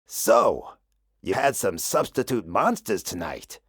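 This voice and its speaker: Woman putting on a deep, gruff, hungry male monster. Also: big pitch swings, takes it slowly, dry recording, clean studio sound, exaggerated voice. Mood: playfully menacing. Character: big hungry male monster, gruff yet fond of his kid.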